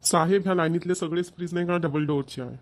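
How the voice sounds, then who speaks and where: A man's voice: a little high, steady tone, fast talker, close to an everyday voice. A man voicing a polite, deferential shop salesman in a Marathi cartoon, with a Maharashtrian accent. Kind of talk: cartoon voice acting.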